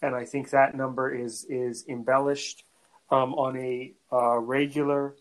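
Halting North American male podcast host. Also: mid-range voice, level tone. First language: English; French is his second language. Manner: halting, often repeats words before finishing a thought. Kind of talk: conversational interview.

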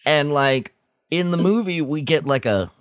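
Man, podcast co-host, animated intonation, dry close sound, halting emphatic casual talk. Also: on the high side, no echo. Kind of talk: casual fan discussion.

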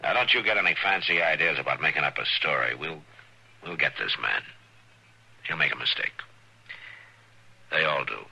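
A deep, stern frontier marshal. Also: male voice, vocal fry, sharp tone, slightly rough, full character voice, low-quality recording. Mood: firm. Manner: firm, measured and commanding. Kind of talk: 1950s radio drama acting.

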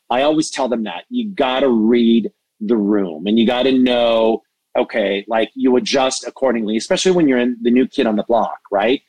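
Expressive, passionate man in a dry recording. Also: medium pitch, no room sound, rough recording. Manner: expressive, passionate, self-described hyper. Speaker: interview guest, entertainment media executive and mentor. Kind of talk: animated interview conversation.

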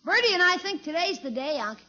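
A woman's voice: very high-pitched, sing-song, a little fast, pushed and bright, exaggerated voice. A woman playing a cheeky, wheedling American schoolboy in a 1950s radio comedy. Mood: chipper. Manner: wheedling, brash. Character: cheeky, pleading schoolboy nephew. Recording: dull vintage radio recording.

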